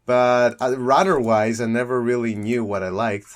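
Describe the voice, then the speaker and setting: A young man from Greece, speaking at an ordinary mid pitch. He rambles through his explanation, restarting sentences and breaking into laughter as he goes. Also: steady tone, normal speed. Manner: rambling, self-correcting, laughs often. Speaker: guest deck builder. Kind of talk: casual chat about a card game.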